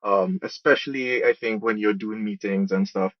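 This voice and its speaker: A man's voice: fairly low pitch, moderate pace, dry recording. Trinidadian man, slightly muffled dry audio. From Trinidad and Tobago. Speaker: podcast guest, program manager and musician. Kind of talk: conversational interview answers.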